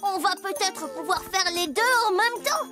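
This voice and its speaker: A performer voicing a gentle, earnest little ghost child in a children's cartoon, with expressive, drawn-out exclamations. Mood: eager. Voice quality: very high-pitched, childlike. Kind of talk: animated children's cartoon acting. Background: children's music.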